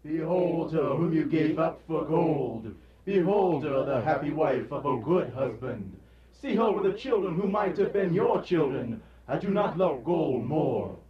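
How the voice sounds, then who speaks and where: A man's voice: on the high side, animated, a little slow, a crowd in the background. Solemn male spirit, played by a man, declamatory and stern, dull far-off live recording for radio drama. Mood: stern, commanding. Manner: declamatory, commanding, formal. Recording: dull, echoey, distant, loud audience noise.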